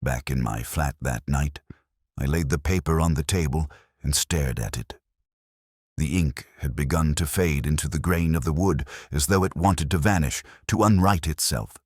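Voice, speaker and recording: A man voicing a weary, melancholy older English detective, narrating a literary mystery in a measured, wry, brooding way. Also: extremely low pitch, breathy edge, no room sound, clean studio sound. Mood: sombre, reflective.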